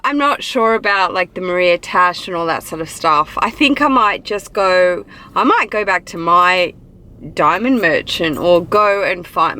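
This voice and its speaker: A woman's voice: medium pitch, pushed and bright. A woman in her thirties with an Australian accent, chatting casually to camera from her car for a vlog, rambling and upbeat. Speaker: vlogger. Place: parked car. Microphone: dashboard camera. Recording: noisy car interior.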